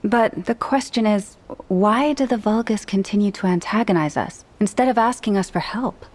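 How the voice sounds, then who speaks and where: A woman playing a confident, eager young sniper in a video game cutscene, brisk and cheeky. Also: medium pitch, a little mellow, lightly acted. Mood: confident, questioning.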